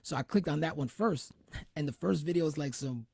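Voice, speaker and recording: A man's voice: fairly high pitch, brisk, vocal fry, a little mellow, breathy edge. A man from New Jersey hosting a casual interview, chatty and full of restarts and fillers. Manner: chatty, many fillers and self-corrections. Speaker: host.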